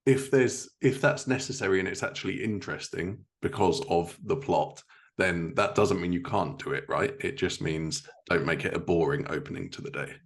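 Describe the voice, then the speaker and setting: A man's voice: low voice, moderate pace. A man speaking casually as a podcast host, chatting with a guest in an interview.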